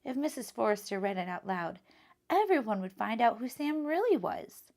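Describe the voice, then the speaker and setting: A woman reading a children's picture book aloud as a teacher, in an expressive, instructive style. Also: a little high, moderate pace, gravelly.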